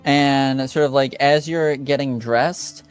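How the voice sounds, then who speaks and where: Slow, halting male game master, clear voice. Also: medium pitch, steady tone, faint music behind. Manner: halting, hesitant, piecing scenes together in fragments. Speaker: game master of a tabletop roleplaying game. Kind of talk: improvised game narration.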